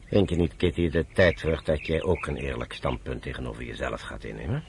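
An actor playing a frank, fatherly military adviser in a Dutch radio play, with a measured way of advising. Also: rumbling low, vocal fry, a little hiss or hum. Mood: frank, grave.

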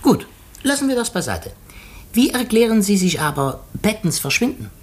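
An actor playing a shrewd, self-assured foreign detective in a theatrical, didactic manner, in 1950s German radio drama style. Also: male voice, high-pitched, big pitch swings, moderate pace, breathy edge, heavily acted. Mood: confident, probing. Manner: theatrical, didactic, sprinkles in French exclamations.

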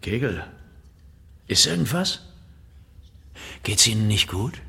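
An actor playing an assured, gently teasing art curator in a conversational radio drama, speaking deliberately with pondering interjections. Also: male voice, fairly low pitch, very animated, gravelly, full character voice. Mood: urging, concerned.

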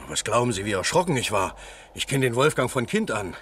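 Husky, lively-pitched village farmhand. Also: male voice, a little fast. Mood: uneasy. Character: village cattle-barn worker, startled but helpful witness. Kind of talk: German radio crime drama acting.

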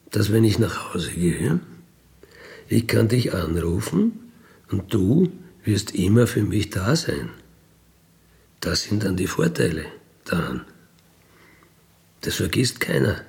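Gravelly man telling his story. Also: on the low side, sing-song, takes it slowly, long pauses, darkish tone. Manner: colloquial, emphatic, seeks agreement with tag questions. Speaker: interviewee, career crook telling his life story. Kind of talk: casual personal storytelling.

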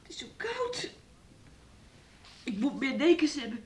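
A woman's voice: sits high, sing-song, unhurried. An actress in a radio play, playing a frail, sick, submissive woman with a hesitant, childlike plaintive manner. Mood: feverish pleading. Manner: hesitant, plaintive, childlike third-person speech.

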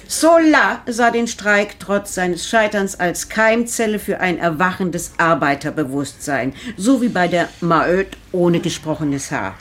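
Exacting, ironic schoolteacher. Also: female voice, fairly low pitch. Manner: didactic, gently mocking. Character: exacting, ironic schoolteacher quizzing her literature class. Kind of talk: radio drama acting.

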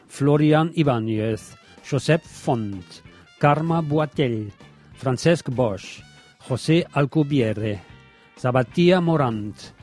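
A man's voice: medium pitch, lively intonation, takes it slowly, darkish tone, soft background music. A man presenting a radio magazine, reading scripted news reports.

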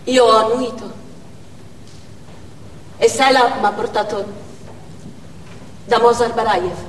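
An actress playing a grieving young Chechen war widow in a stage monologue, speaking in stark, halting short phrases. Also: female voice, a little high, fairly even intonation, distant mic. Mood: numb grief. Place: theatre stage, distant microphone. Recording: echoey theatre hall from afar, noisy.

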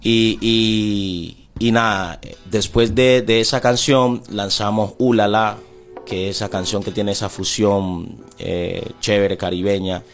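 A man's voice: fairly low pitch, fairly even intonation, takes it slowly, soft background music. A man with a Colombian Pacific coast accent talking casually as an interview guest, often repeating words as he searches for the next one. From Timbiquí, Pacific coast of Colombia.

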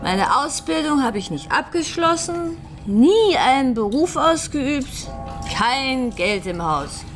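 An actress playing a tipsy, frank, disillusioned widow who speaks haltingly in a German radio crime drama. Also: female voice, high-pitched, very animated, faint music behind, heavily acted. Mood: resigned, despondent. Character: tipsy, disillusioned new widow, mother of schoolchildren.

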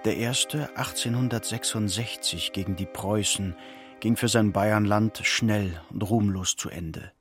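A man narrating a literary radio drama in a measured, solemn manner. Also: on the low side, soft background music, close to an everyday voice. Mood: grave, measured.

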